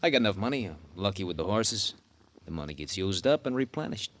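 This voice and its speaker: Smug, low-voiced breezy radio hoodlum. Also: male voice, very animated, fast. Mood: breezy, mocking. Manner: breezy, mocking, slangy. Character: smug, falsely friendly male small-time killer. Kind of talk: 1950s radio crime acting.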